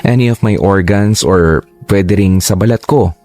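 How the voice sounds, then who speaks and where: A low, mellow male voice narrates a radio drama as a man plays a young man recalling his illness. He speaks earnestly and confessionally, unhurried and heartfelt. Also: faint music behind. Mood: somber.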